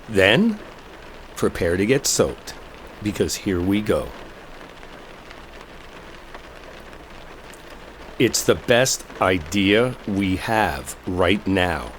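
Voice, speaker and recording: A man's voice: low voice, slow pace, pauses often, heavily acted. A man acting in a roleplay audio drama as an exasperated, sarcastic guy stranded with a coworker he dislikes. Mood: resigned, impatient. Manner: sarcastic, heated, swearing. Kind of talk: roleplay audio drama, one side of an argument. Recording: steady rain noise behind voice. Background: rainstorm ambience.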